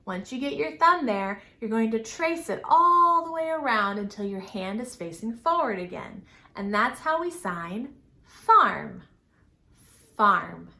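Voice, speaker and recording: A woman hosting a children's storytime, speaking in simple, encouraging, exaggerated phrases as she teaches and asks questions. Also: fairly high pitch. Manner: exaggerated, animated delivery. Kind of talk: children's storytime lesson with questions and sing-song. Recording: roomy, echoing indoor sound.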